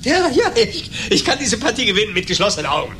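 A man's voice: high voice, wildly swooping pitch, fast, background noise. An actor playing a hot-headed, boastful man in a radio play, agitated and stammering as he repeats himself. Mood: feverishly eager. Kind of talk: German radio play acting.

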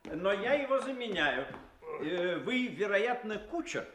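An actor playing an earnest, excitable English gentleman in a radio play, speaking politely, eagerly and with emphasis. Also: high voice, a little slow, slight background noise, heavily acted. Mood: polite, insistent.

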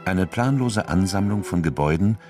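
Deep-voiced man narrating over music. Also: expressive, normal speed. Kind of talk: scripted literary narration.

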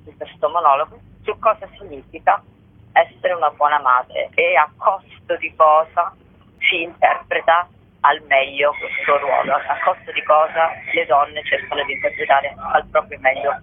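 A woman speaking as a guest in a radio interview, explaining her work in a reflective, halting way with frequent rephrasing. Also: sits low, fairly even intonation, slightly rough, no room sound. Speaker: playwright and actress, interview guest. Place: remote phone call to a radio show. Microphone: telephone. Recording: tinny, band-limited telephone line.

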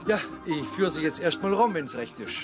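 An actor playing a pious, hospitable old church elder with a homely, chatty way of talking, in a radio drama. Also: male voice, a little high, expressive, moderate pace, over loud music, lightly acted. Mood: welcoming, proud.

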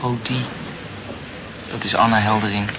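A man's voice: on the low side, level tone, moderate pace, noisy background, natural voice. An actor playing a laconic, casual young male student in a radio play. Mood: offhand.

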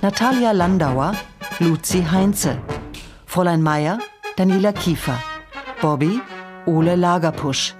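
A woman announcing radio drama credits in a measured, neutral, scripted manner. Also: lowish voice. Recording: radio production, brass music behind.

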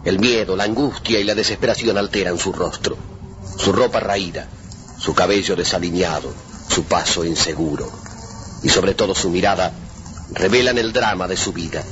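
Deep-voiced solemn male radio drama narrator. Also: fairly bright tone, a little hiss or hum. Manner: solemn, measured. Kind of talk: scripted dramatic narration, old radio theatre. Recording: dull archive radio recording, music behind.

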